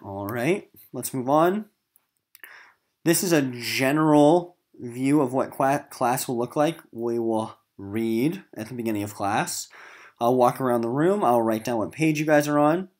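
A man with a mid-pitched voice teaches literature to middle schoolers in a recorded lesson. He talks informally and conversationally, addressing the students directly as he explains what he expects. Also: unhurried, darkish tone. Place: voice-over on a screen recording of slides.

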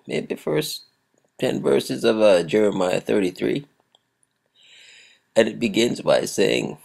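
A man with a croaky voice giving a daily devotional, chuckling often and reading scripture aloud with emphasis. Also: fairly low pitch. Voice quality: gravelly. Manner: chuckles often between phrases. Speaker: devotional host.

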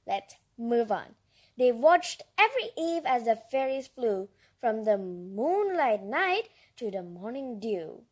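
A woman's voice: fairly high pitch, sing-song, takes it slowly, darkish tone. A female reader reading a picture book aloud with chatty asides, in a childlike, enthusiastic, exclaiming manner. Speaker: storybook reader and host.

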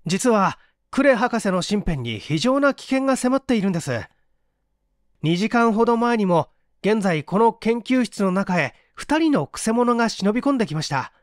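A man voicing an earnest, quick-witted young male assistant who speaks urgently and politely in a dramatic story reading. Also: high-pitched, big pitch swings, no echo, clean studio sound. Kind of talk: dramatic audiobook character reading.

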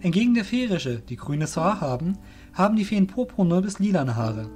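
Expressive male fantasy storyteller, fairly high. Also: moderate pace, soft background music. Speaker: narrator. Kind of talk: scripted storytelling narration for a fantasy audio drama.

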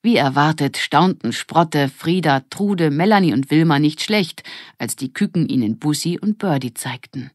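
Low-voiced woman reading a children's story. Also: lively intonation, normal speed, dry recording, studio quality. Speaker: audiobook narrator. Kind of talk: scripted children's story narration.

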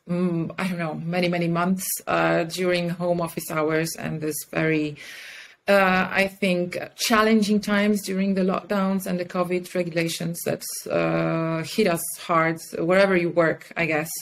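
A woman speaking enthusiastically and conversationally as an interview guest on a video call. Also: on the low side. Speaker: podcast guest, communications head and mentor. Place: indoor room with curtains and plants, on a video call.

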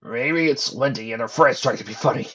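A woman's voice: extremely low pitch, a little fast, husky, muffled recording. A woman playing a suspicious, demanding older man, a grim great-uncle in a story. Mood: tense. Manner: tense, demanding, muttering.

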